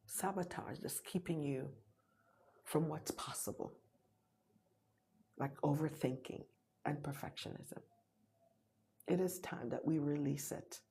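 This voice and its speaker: A middle-aged Jamaican woman speaks to her live audience with a low, warm, husky voice. She presses her point over and over, sometimes sinking into an intense whisper. Her delivery is an urgent motivational pep talk. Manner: urgent, repetitive, drops to whispers for emphasis.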